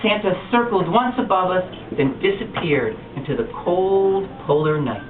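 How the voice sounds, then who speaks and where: A man reading a picture book aloud at a bookstore storytime, telling it with expressive animation. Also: sits high, normal speed. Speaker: storytime reader. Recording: distant echoey lo-fi room recording with noise.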